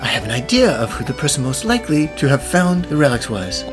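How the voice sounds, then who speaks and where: Composed gentleman professor voiced by a man, over music. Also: mid-range voice, big pitch swings, quick pace, natural voice. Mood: composed, pondering. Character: composed, polite gentleman puzzle-solving professor. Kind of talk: animated mystery fan dub.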